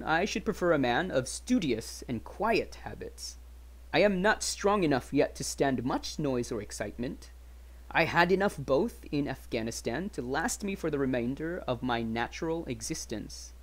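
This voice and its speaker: Storybook gentleman veteran, man performing: inquisitive, direct, emphatic, formal, animated intonation. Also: fairly high pitch, moderate pace. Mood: earnest. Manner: emphatic, forthright, formal phrasing. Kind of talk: dramatic audiobook reading.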